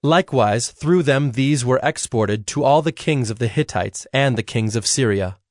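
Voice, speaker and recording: A man narrating scripture from a script in a measured, formal way. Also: medium pitch, dry recording, clean studio sound.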